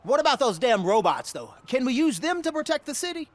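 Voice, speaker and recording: Animated, indignant city mayor. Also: sits high, fairly quick. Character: indignant, dutiful newly elected city mayor. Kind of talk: video game cutscene acting.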